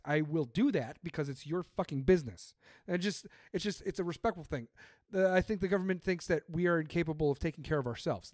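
Man, podcaster, emphatic, swears a lot, fairly high voice, dry sound. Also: a little mellow, dry recording. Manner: emphatic, profane, ranting. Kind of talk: casual political chat.

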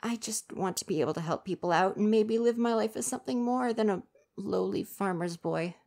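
A woman's voice: medium pitch, fairly quick, creaky, darkish tone, close to an everyday voice. A woman voicing a young male would-be ninja in an ASMR roleplay, defensive and flustered but earnest underneath.

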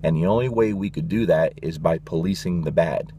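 Passionate, blunt, deep-voiced male dog trainer. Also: a little fast, dark tone, a little hiss or hum. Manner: passionate, emphatic, repetitive with frequent "you know" and "guys"; blunt, swears casually. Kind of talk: direct-to-camera vlog rant.

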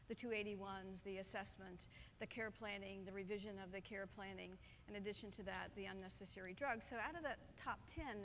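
A middle-aged woman, speaking as a conference panelist in a measured way with frequent pauses, on a lapel mic. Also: medium pitch, a bit bright, a little hiss or hum. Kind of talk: panel discussion. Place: conference stage.

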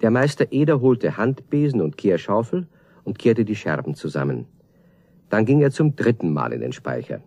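A man's voice: on the low side, very animated, moderate pace, dark tone. A man narrating a children's radio play as a scripted storyteller.

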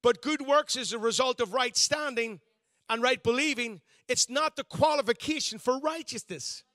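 A pastor in his fifties preaches with a bright, lifted voice that sits high for a man. He talks to the congregation in a loose, conversational way, restarting his sentences and joking at his own expense. The sound is dry and close. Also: moderate pace, dry recording. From Northern Ireland. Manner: conversational, self-deprecating preaching with frequent restarts. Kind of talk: sermon.

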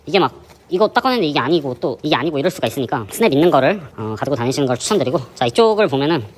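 A man with a hoarse voice from a sore throat, hosting a fishing channel and chatting through his gear in a casual, explanatory way. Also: fairly high pitch, very animated, rapid-fire. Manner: chatty, explanatory, many fillers. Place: indoor gym.

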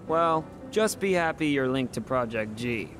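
A man's voice: mid-range voice, lively intonation, a little slow, fairly bright tone, faint music behind. A man voicing an earnest, idealistic young soldier hero in a video game, with a casual, open and emphatic manner. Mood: sincere, uncertain. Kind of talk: dramatic video game voice acting.

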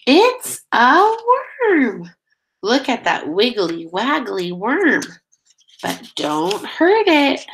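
Middle-aged woman, children's read-aloud teacher; highish, creaky voice, expressive delivery that invites kids to answer. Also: rough recording. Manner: expressive, drawn-out key words, prompting children with questions. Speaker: teacher reading a picture book aloud.

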